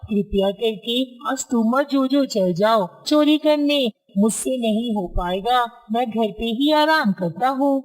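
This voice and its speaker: Woman doing a lazy crow dad cartoon thief, kinda high voice. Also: expressive, slow, hardly any pauses, rough recording. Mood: sleepy, lazy.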